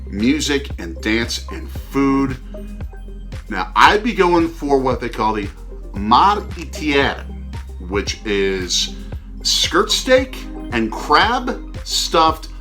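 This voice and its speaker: A middle-aged man co-hosting a food travel vlog in an upbeat, semi-scripted way, leaning on key words with drawn-out emphasis. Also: mid-range voice, bright, forceful tone. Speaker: travel food show host, father co-hosting with his child. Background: background music.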